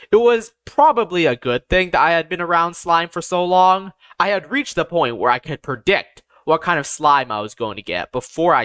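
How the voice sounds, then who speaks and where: Audiobook narrator, androgynous mid-range voice, animated intonation, deliberate scripted fantasy storytelling, very dry close sound. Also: medium pitch, dry recording.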